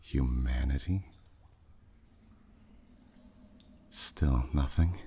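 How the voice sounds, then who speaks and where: A man's voice: slow pace, heavily acted. A man voicing a flirty, menacing demon who taunts a captive in a teasing, smug way, in a scripted ASMR roleplay. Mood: intrigued, suspicious. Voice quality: very deep, husky, breathy at phrase ends. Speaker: audio roleplay performer.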